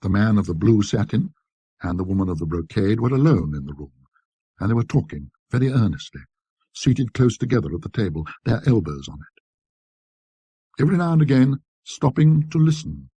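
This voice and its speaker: A low-voiced man narrates a classic ghost story with a scholarly, precise air. His phrasing is measured, yet the pitch rises and falls expressively. The tone is very dark and mellow. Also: breathy edge, no echo.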